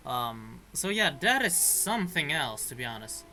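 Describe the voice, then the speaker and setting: A man giving casual reaction commentary on a game, thinking aloud in a halting way. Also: on the high side, sing-song, noisy background. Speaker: gaming streamer.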